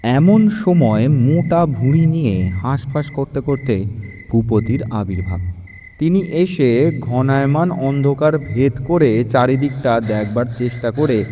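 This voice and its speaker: Slow, mellow male storyteller with long reverb. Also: medium pitch, animated, slight background noise. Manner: measured, literary. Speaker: narrator. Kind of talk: scripted story narration. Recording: long reverb, music behind.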